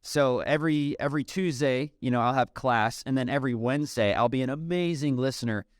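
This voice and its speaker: A man, chatting casually as a podcast guest, relaxed and peppered with "um" and "dude". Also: medium pitch, a little fast, dry recording, studio quality. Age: 30s. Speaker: podcast guest, software company CEO. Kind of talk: casual interview conversation.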